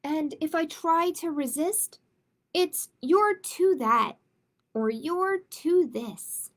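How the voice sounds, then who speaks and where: Slow, high-voiced woman reading to children. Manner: slow, emphatic, expressive reading for young children. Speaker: children's librarian hosting storytime. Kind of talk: picture book read-aloud and casual chat.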